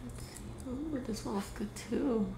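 Slow, chatty young woman in echoey kitchen. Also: fairly high pitch. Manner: relaxed, chatty, thinking aloud. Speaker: mukbang host. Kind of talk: casual chat while cooking and eating. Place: small home kitchen room, indoors. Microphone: desk microphone on stand. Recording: echoey room with clear background noise. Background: cooking and dish clatter.

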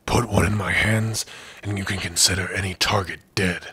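Man playing a deep, raspy, brooding orc. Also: steady tone, bright, forceful tone, no room sound, heavily acted. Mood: warming, gruff. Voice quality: husky, rough, breathy. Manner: slow, brooding, sardonic. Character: big gruff male orc prisoner, guarded but lonely. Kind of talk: ASMR roleplay addressed to the listener.

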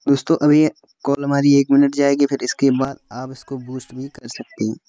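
Young indian guy doing an app tutorial, pretty flat delivery, kinda lo-fi sound. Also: medium pitch, moderate pace, dry recording. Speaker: tutorial host. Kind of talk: step-by-step phone app tutorial voice-over. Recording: slightly dull, lo-fi, dry close recording.

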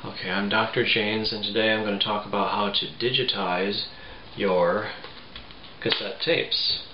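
A man in his forties giving a casual step-by-step tutorial in a halting, plain-spoken way. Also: on the low side, fairly even intonation. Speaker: tutorial presenter. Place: small home room, indoors. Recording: roomy, muffled, faint background noise.

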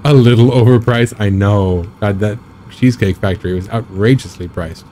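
A man in his thirties chatting casually while live-streaming a driving game, rambling with a slightly raspy, scratchy throat. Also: fairly low pitch, moderate pace, dark tone. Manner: rambling, chatty, reads and answers chat.

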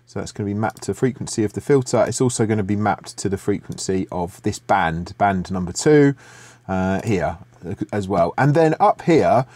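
A middle-aged man talks through a music production tutorial from his home studio, pausing and restarting as he thinks aloud. His voice sits a little low and the sound is dry and close. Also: no echo. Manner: relaxed, thinking aloud with many fillers and restarts. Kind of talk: screen-share software tutorial.

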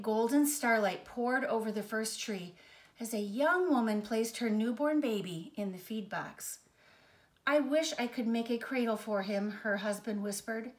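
A middle-aged woman with a fairly high voice reads a children's story aloud. Her delivery is expressive and measured, with dramatic emphasis made for young listeners. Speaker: storyteller reading a children's picture book. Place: living room armchair, indoors.

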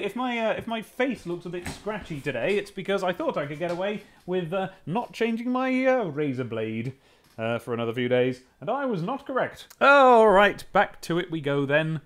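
A man's voice: sits high, big pitch swings, normal speed, fairly bright tone. A man in his thirties giving casual, rambling, playfully whimsical gaming commentary and reading game text aloud. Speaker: gaming video host. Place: small home office with desks and monitors. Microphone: boom-arm microphone with pop filter. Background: game music.

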